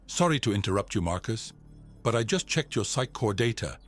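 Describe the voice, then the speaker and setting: Male actor as space mission psychiatrist, low voice, calm, formal, clinical, audio drama, music underneath. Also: brisk. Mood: probing, concerned. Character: measured, clinical male psychiatrist on a Mars expedition. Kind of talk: audio drama log and session dialogue.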